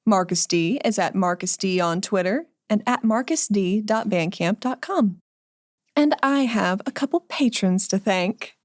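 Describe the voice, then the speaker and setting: A woman's voice: medium pitch, unhurried, no echo, studio quality. A woman hosting a podcast, giving credits and thanks to listeners. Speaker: podcast host.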